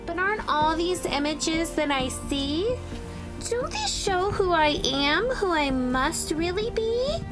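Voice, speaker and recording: A woman's voice: very high-pitched, sing-song, takes it slowly, over loud music, voice pushed up, exaggerated voice. A woman voicing a lost, confused young child in a children's storybook, earnest, questioning and insistent. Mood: puzzled, questioning. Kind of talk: children's picture book read-aloud.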